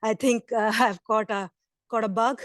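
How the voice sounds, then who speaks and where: Hoarse woman, conference speaker, fairly high voice, dry close sound. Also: fairly even intonation, normal speed, no echo. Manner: earnest, with fillers and restarts. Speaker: invited speaker. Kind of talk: conference presentation. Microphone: computer mic on video call.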